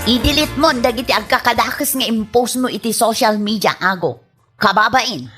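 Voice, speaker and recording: An actress plays an exasperated Ilocano wife in a radio comedy drama. Her voice is high, and she scolds with emphatic indignation, ashamed of her foolish husband. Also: normal speed, fairly bright tone, husky, soft background music. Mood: warning.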